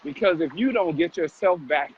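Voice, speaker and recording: A slightly high-voiced man walks briskly as he talks, urging listeners on about health and exercise. He is emphatic and repeats himself for effect. The audio is muffled, with clear noise around him. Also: animated. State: exercising at a brisk walk while talking. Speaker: fitness vlog co-host. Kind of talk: motivational health talk while walking.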